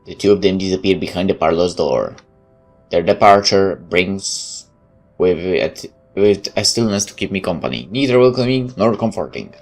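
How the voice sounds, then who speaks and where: A woman's voice: rumbling low, expressive, normal speed, a little mellow, soft background music. A woman, a native Polish speaker, reading game narration aloud and commenting casually as a streamer, often stumbling and correcting herself. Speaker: let's play streamer.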